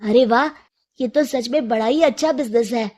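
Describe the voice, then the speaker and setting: A woman as an earnest, soft-toned young man. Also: fairly high pitch, normal speed, close to an everyday voice. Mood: pleased. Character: earnest, restless young man dreaming of easy money.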